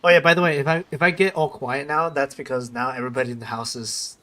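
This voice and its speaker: A man commenting casually and quietly as he streams a game. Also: medium pitch, fairly even intonation, quick pace. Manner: quiet, subdued.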